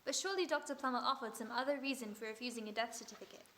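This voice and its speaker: Woman doing a dry clever lady sleuth, kinda high voice, faint hiss behind. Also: racing, a bit bright, lightly acted. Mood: probing. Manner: dry, ironic politeness. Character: sharp-witted female amateur detective, cool and probing. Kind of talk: vintage-style radio drama acting.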